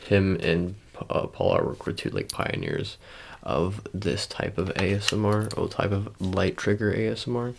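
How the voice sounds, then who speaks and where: A man doing an ASMR roleplay, talking casually to the viewer in a halting, rambling, self-correcting way. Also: low-pitched, expressive, vocal fry, slight background noise. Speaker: ASMR creator.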